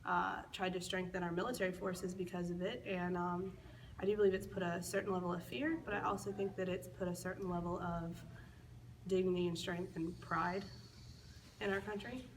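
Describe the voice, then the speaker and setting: An eighteen-year-old woman with a slightly low voice answers in a measured, earnest way. She sounds a little distant from the mic, with faint noise behind. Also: flat delivery. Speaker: interviewee. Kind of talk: casual interview answers.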